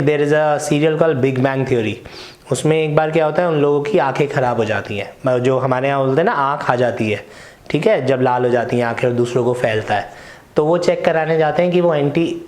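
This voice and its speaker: Indian english teacher guy, young, explains slow n patient, keeps asking right?, kinda high voice, echoey room. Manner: explains patiently, asks rhetorical questions and checks in with "right?". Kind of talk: explanatory lesson mixing Hindi and English.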